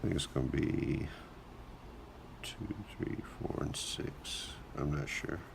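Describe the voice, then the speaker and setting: A man recovering from a cold, hosting his own channel and talking through his work in a relaxed, thinking-aloud way. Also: rumbling low, slow, vocal fry, background noise. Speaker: lockpicking channel host. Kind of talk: casual hands-on narration.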